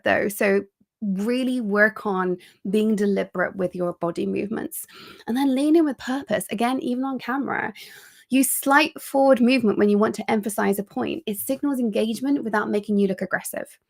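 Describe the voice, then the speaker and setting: A woman, an executive coach, giving an energetic, chatty live online training with quick asides and frequent ums, speaking into a computer mic on a video call. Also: a little high, gravelly.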